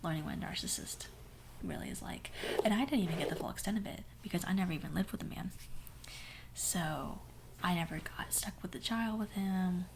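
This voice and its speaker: A young woman with a hoarse voice telling a sassy, dramatic story in a soft whisper. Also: lowish voice, a little fast, background noise. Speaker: ASMR storyteller. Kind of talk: whispered ASMR story time.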